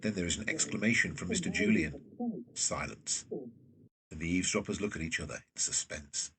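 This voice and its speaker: A man with a very husky voice reading scripted present-tense narration for a drama, recorded away from the microphone. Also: low voice, fairly quick, sharp tone, far from the mic, slight background noise.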